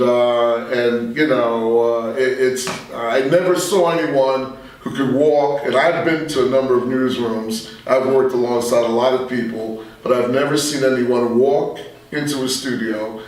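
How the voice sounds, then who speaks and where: Older american guy in his 60s, veteran radio newsman chatting in an interview, halting delivery, super echoey and off mic. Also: mid-range voice, steady tone, recorded from a distance. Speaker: veteran radio journalist and talk show guest. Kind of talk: reflective interview conversation.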